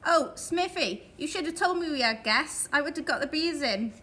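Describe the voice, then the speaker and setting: A woman's voice: sits high, expressive, normal speed, bright, forceful tone. A woman playing a casual, boozy vampire countess in an amateur radio drama. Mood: breezy.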